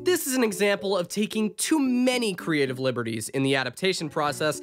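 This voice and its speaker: Animated, sarcastic video essay narrator. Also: mid-range voice, fairly quick, noisy background. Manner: opinionated, sarcastic, emphatic.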